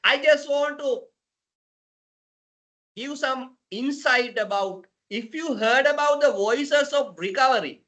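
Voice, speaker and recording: A woman with a Sri Lankan accent hosts an unscripted podcast. Her voice is very bright and forceful. She speaks slowly and haltingly, repeating phrases as she introduces and questions. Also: sits high. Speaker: podcast host.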